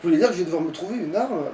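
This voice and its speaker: A man giving excitable, grumbling gaming commentary peppered with swearing. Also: high-pitched. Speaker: let's play player. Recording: distant mic, background noise.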